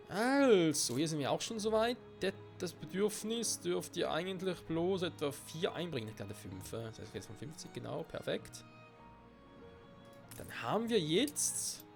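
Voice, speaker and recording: A man's voice: fairly high pitch, vocal fry. A man with a cold giving casual gameplay commentary, swearing and thinking aloud to himself. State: stuffy-nosed with a cold. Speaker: let's play gamer. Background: faint game music.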